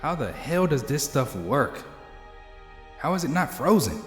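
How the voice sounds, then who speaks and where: Young male hero for audio drama, played by a man: exasperated, sarcastic muttering, highly animated, slightly high register. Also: a little slow, faint music behind. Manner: grumbling, sarcastic, talking to himself.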